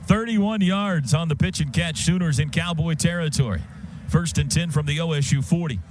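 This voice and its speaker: An American man with a high-set voice calls a football game for radio. He describes the action in clipped, vivid phrases, building with the play, over loud stadium noise. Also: normal speed. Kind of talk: live football play-by-play commentary.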